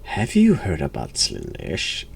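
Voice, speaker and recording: A man with a gravelly voice giving casual, sarcastic, deadpan commentary on a horror game. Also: extremely low pitch, a little slow, a little hiss or hum. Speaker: streamer playing a horror game. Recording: music behind the voice.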